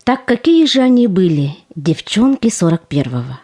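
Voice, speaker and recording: A woman's voice: medium pitch, sing-song, normal speed, warm tone. A woman giving scripted, solemn, declamatory narration for an audio play.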